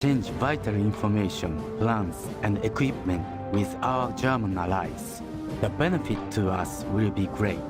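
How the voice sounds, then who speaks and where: An actor playing a solemn military briefing officer, narrating a scripted game briefing in a measured, authoritative way. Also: male voice, lowish voice, animated. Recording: loud music under the voice.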